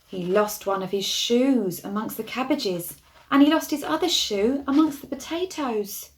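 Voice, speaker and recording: A woman storyteller reading a storybook expressively to young children, with hushed asides and gentle questions to the listeners. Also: fairly high pitch, measured pace, slight background noise.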